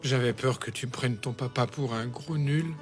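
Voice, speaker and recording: A man's voice: medium pitch, moderate pace, lightly acted. An actor playing a downcast middle-aged father in a children's cartoon, hesitant, sighing and easily flustered. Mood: sheepish, downcast. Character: sheepish, downcast middle-aged dad who lost his job. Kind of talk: animated children's comedy dialogue. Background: background music.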